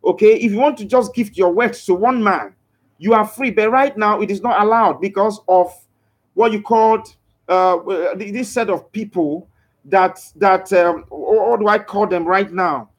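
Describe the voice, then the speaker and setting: A man's voice: sits high, normal speed. A man hosting a motivational live broadcast, preaching with emphatic repeated phrases and stopping to read out and answer viewers' comments. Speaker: live broadcaster promoting a crypto scheme.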